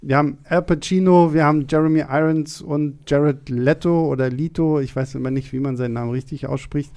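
Mellow-voiced German podcast host. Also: male voice, on the high side, steady tone, a little fast. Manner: loose, hesitant, many fillers. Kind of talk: casual film podcast chat. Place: studio.

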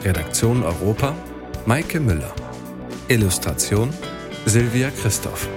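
A man with a fairly low voice narrates scripted credits in an announcer's role. His intonation moves around a lot, and music plays clearly behind him. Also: lively intonation, unhurried.

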